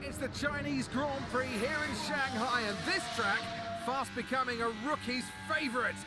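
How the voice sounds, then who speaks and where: Motorsport commentator, excited, very high raised voice, loud music underneath. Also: male voice, moderate pace. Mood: upbeat. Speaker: race commentator.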